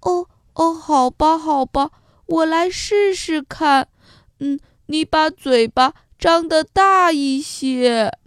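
A woman's voice: high-pitched, slow pace, dry recording, clean studio sound, pitched above the performer's own voice. A woman voicing an honest, gullible, kindly male giraffe in a children's story, earnest and naive. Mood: kind, hesitant.

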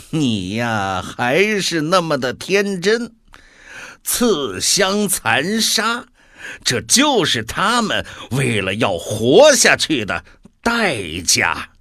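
A cruel supernatural gambler for a radio play, very slow and taunting. His bright, sharp voice swings in pitch as he toys mockingly with humanity, recorded dry and close. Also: sing-song, dry recording, full character voice. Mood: mocking, smug. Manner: drawn-out, taunting delivery. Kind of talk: radio drama acting.

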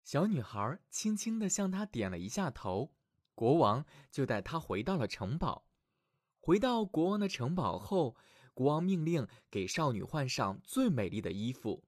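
A man narrating fairy tales for children as a storyteller, reading expressively and dramatically from a script with clear phrasing. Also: mid-range voice, very animated, moderate pace, darkish tone, dry recording, studio quality. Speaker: children's storyteller, show host.

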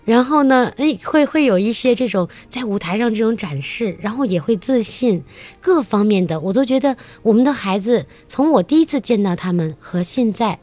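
A woman's voice: fairly high pitch, normal speed, faint music behind. A woman, a children's musical theatre teacher, chatting enthusiastically as a talk-show guest and acting out bits of conversation as she tells stories. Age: adult, mother of a young child. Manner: enthusiastic, animated storytelling with acted-out quotes.

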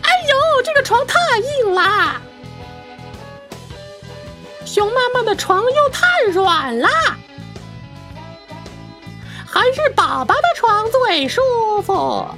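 Fussy little storybook girl, shrill and swooping, nosy and huffy, light music behind. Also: very animated, takes it slowly, pushed and bright, full character voice. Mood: whiny, fussy. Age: child figure. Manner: huffy, complaining, exclaiming. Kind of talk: children's radio play character acting.

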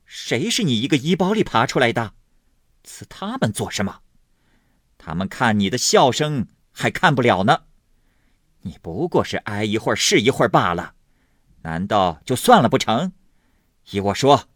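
A man's voice: lowish voice, very animated, a little fast, long pauses, breathy edge, dry recording, studio quality, heavily acted. A man voicing a middle-aged woman servant from a classic novel who is cold, impatient and bossy. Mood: sneering.

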